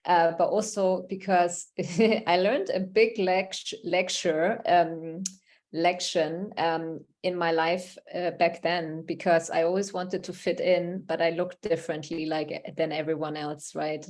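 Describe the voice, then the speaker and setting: A woman's voice: on the low side, steady tone, measured pace, darkish tone. A woman from Germany, leading an online workshop in a conversational way and reading out participants' answers from the chat. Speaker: workshop facilitator.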